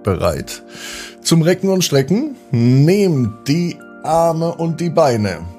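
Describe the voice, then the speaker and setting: A man hosting a children's podcast, narrating expressively and gently with drawn-out emphasis. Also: a little high, very animated, soft background music. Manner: gentle, drawn-out emphasis, addressing young listeners. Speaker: children's podcast host and storyteller.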